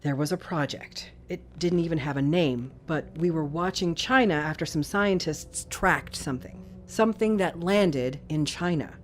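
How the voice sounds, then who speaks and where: A woman's voice: sits low, moderate pace, hardly any pauses, close to an everyday voice. An actress playing an authoritative, probing female intelligence chief in an audio drama, direct and commanding. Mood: grave, confiding.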